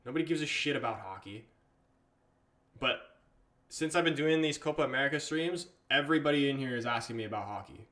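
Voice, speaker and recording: A man's voice: medium pitch, lively intonation, brisk, bright, forceful tone. Chatty English man streaming a match. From England. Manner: chatty, reads viewer comments aloud, swears casually. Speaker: livestream host. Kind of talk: casual live match commentary and chat.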